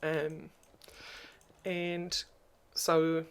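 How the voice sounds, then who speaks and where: A speaker with a noticeably crackly voice talks straight to camera in a personal vlog. The delivery is flat and low-key, with little rise and fall. They sound subdued and hesitant, pausing often on ums. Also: clean tone. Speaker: YouTuber.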